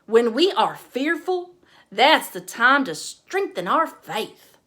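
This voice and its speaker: A woman's voice: on the high side, very animated, slow, a bit bright, heavily acted. A woman voicing her devout, commanding Southern debutante mother with a thick Texas accent. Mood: firm. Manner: commanding, matter-of-fact.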